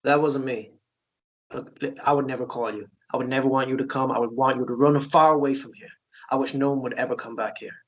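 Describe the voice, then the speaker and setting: A man playing the shy, earnest, protective ghost of a miner, speaking haltingly in a fantasy romance table read. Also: medium pitch, steady tone, highs cut off, close to an everyday voice. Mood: grave, warning.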